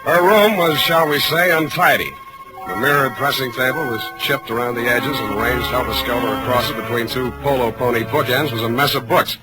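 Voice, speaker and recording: An actor playing a wisecracking, hardboiled private detective, dry and sardonic, in 1940s radio style. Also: male voice, mid-range voice, brisk, loud music behind the voice, lightly acted, poor audio. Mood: wry narration. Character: wisecracking, hardboiled male private detective narrating his case.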